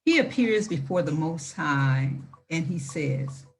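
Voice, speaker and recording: A woman reading aloud in an emphatic, deliberate manner, with informal asides. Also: low-pitched, expressive. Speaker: reader with commentary.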